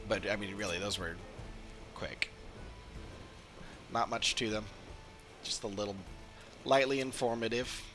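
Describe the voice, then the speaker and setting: A man's voice: lowish voice, takes it slowly, pauses often, over music. A man doing casual livestream commentary as he plays a game, musing and rambling as he thinks aloud. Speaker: game streamer.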